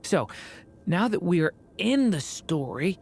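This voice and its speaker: Casting: wistful young dragon-blooded boy, quiet and sincere, lowish tone. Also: sing-song, takes it slowly, exaggerated voice. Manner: soft, earnest, a little sad. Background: faint game music.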